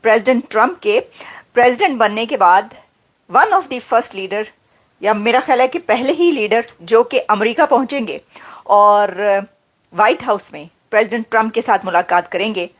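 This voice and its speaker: A woman giving news commentary in an emphatic way, repeating points and asking rhetorical questions. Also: on the high side.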